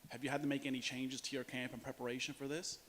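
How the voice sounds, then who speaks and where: A man's voice: mid-range voice, little pitch movement, fast, fairly bright tone. A man working as a reporter, asking an athlete questions at a press conference. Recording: faint hiss and noise.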